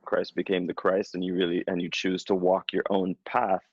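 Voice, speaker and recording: A man in his thirties, a spiritual coach, giving a slow, soothing reading and then chatting casually with his client. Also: low-pitched, completely flat delivery, breathy edge, dry recording. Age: 30s. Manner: slow, soothing, affirming delivery. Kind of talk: guided channeled reading, then casual chat. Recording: dull lo-fi sound.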